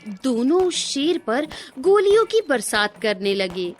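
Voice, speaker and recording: A woman's voice: sits high, big pitch swings, slow pace, noisy background. A woman narrating a scripted children's cartoon story.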